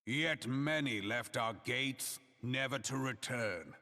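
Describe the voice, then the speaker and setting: A man's voice: medium pitch, slow, bright, forceful tone. An actor playing a proud, solemn military statesman in a fantasy game, declaiming in grand, archaic language. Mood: solemn, reverent. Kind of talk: theatrical public oration in a fantasy game. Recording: long echoing hall reverb.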